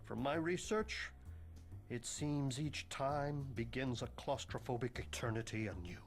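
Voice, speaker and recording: A man acts out a bookish headmaster of a school for the occult. He is pompous and morbid, delivering ornate, formal phrases with a deadpan air. It is video game cutscene acting, with music faintly underneath. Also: medium pitch, a little slow, natural voice. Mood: grave, ornate.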